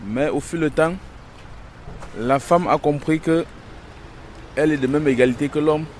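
A man's voice: on the high side, normal speed, darkish tone. A man giving his opinion off the cuff as a street interviewee. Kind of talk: spontaneous opinion. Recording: faint outdoor street noise. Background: street and vehicles.

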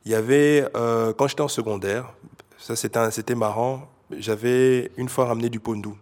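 A man's voice: medium pitch, fairly even intonation, normal speed, darkish tone. A man from Belgium casually explaining slang words, hesitant and full of fillers, switching between French and Dutch. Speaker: interviewee.

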